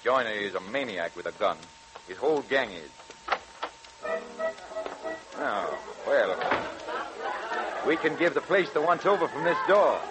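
An actor playing a dogged, commanding police chief in a terse 1940s radio crime drama style. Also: male voice, mid-range voice, expressive, unhurried, long pauses, crowd noise, natural voice, low-quality recording. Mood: grim, watchful. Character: dogged New Orleans police chief, tough, commanding lawman. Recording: vintage 1940s radio, music behind.

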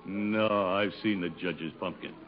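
An American actor playing a bashful, easily flustered middle-aged suitor in a 1950s radio comedy, speaking hesitantly. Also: male voice, deep voice, unhurried. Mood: weary, coy. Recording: muffled, very noisy old radio recording.

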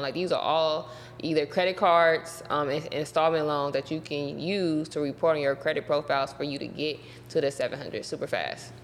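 A woman's voice: deep voice, vocal fry, long reverb tail, a little hiss or hum. A woman guest in a casual interview, talking loosely with a lot of "like" and "so". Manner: loose and chatty, heavy use of "like" and "so". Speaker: guest, credit repair business owner.

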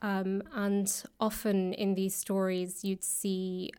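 Female interview guest, fairly flat intonation, reflective and hesitant, conversational delivery with frequent pauses. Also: mid-range voice, smooth. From grew up in the UK and India. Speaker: guest, author and actor. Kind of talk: thoughtful conversational interview answers. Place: indoors, upper floor of a public library.

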